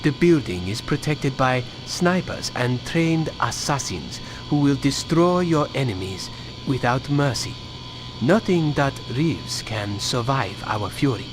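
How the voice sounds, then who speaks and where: A man's voice: medium pitch, lively intonation, measured pace, over music, lightly acted, rough recording. A man playing a devoted, boastful assassin bodyguard in a video game, solemn and grandiose. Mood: proud, menacing. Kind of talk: scripted video game cutscene acting.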